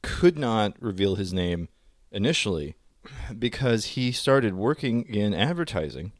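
A man's voice: on the low side, sing-song, a little slow, no room sound, studio quality. A man co-hosting a casual comedy podcast, telling stories in a wry, wordy way with wisecracks. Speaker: podcast co-host.

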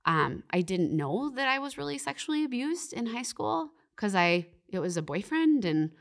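A woman's voice: a little high, sing-song, normal speed, gravelly. A young woman, a podcast guest talking earnestly and reflectively about faith, often saying "like" and "you know". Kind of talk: faith-based podcast conversation.

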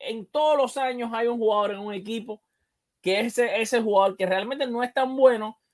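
A man's voice: extremely high pitch, normal speed. A man hosting a sports show, discussing baseball casually and emphatically, often repeating phrases to drive a point home.

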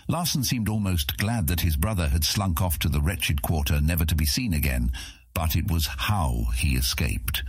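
A man's voice: sits low, husky, no echo. A man reading scripted epic fantasy narration for an audiobook.

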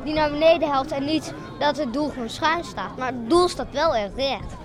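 A woman's voice: high voice, moderate pace. A woman chatting casually outdoors. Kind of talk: casual chat. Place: outdoors at a football pitch. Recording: outdoor football crowd behind.

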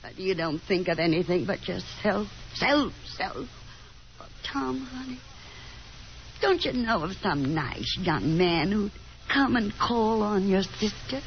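A woman's voice: mid-range voice, expressive, takes it slowly. An actress playing a nagging, genteel middle-aged Southern mother with a theatrical, chatty manner in a 1950s radio drama. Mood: reproachful, pleading. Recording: muffled, noisy 1950s radio broadcast.

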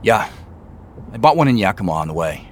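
An actor playing a wry, self-deprecating tech boss in an audio drama, conversational and playful yet guarded. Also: male voice, lowish voice, sing-song, fairly quick, a little hiss or hum. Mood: playful, amused. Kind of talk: naturalistic audio drama acting.

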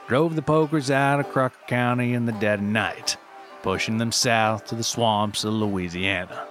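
A man's voice: on the low side, a bit bright. A man reading scripted mock-documentary narration in a theatrical, mock-serious manner.

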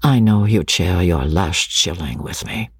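A man's voice: sits low, measured pace, husky, no echo, studio quality, pitched below the performer's own voice. A man voicing an earnest, loyal army captain who chides plainly, in a storybook reading. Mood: fond, pleading. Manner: earnest, plain-spoken, chiding. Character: earnest, loyal male army captain, protective older friend.

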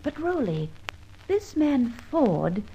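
A woman's voice: sits high, sing-song, slow, warm tone, a little hiss or hum, full character voice. An Australian actress playing a curious young woman accomplice in a 1950s radio drama, asking questions eagerly. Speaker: supporting character. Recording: dull old radio recording.

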